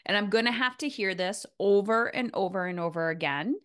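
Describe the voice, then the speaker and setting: A woman's voice: medium pitch, brisk, a bit bright, clean tone. A woman hosting a casual interview in a motivational coaching style, emphatic and repeating phrases for weight. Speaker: podcast host, coach.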